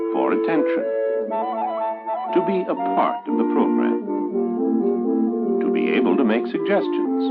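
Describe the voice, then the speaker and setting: An American man narrating a 1960s instructional film in an authoritative, emphatic, measured oratorical way. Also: lowish voice. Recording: tinny band-limited 1960s film soundtrack, loud music. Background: loud musical score.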